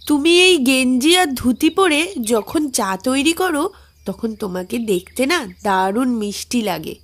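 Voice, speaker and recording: A woman voicing a sweet, loving young village woman in a cartoon, soft and affectionate. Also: sits high, animated, unhurried. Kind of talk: cartoon voice acting.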